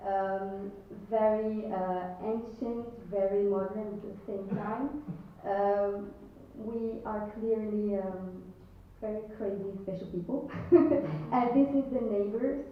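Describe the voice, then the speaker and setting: A woman's voice: medium pitch, fairly even intonation, takes it slowly, few pauses, slight background noise. Hesitant woman from Algeria, echoey hall. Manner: hesitant, many fillers, laughs often. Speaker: lighting designer giving a short talk. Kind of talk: timed slide presentation. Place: large echoey event space. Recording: distant, echoey, muffled event audio.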